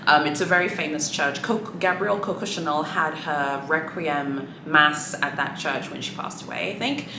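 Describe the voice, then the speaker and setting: A woman's voice: sits low, normal speed, hardly any pauses. A woman whose first language is Swahili, chatting casually to camera with many fillers and sassy asides. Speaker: luxury shopping vlogger. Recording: echoey room with clear background noise.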